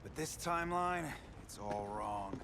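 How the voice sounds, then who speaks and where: A man's voice: fairly low pitch, animated, unhurried, background noise. A man playing a vengeful, bitter supervillain speedster in a video game, with a taunting, theatrical delivery. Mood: menacing taunt. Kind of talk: video game villain acting. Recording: loud music under the voice.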